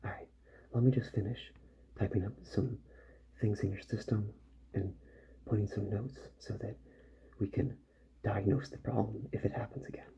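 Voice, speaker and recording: A man playing a calm, attentive robot repair doctor in a soft-spoken ASMR roleplay, hushed and unhurried, often slipping into a whisper. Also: lowish voice, vocal fry. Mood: calm, musing. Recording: dull sound, loud background noise.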